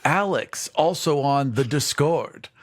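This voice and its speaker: A man's voice: on the high side, lively intonation, slow pace. A man co-hosting and editing a podcast, chatting casually, teasing his co-host and laughing often.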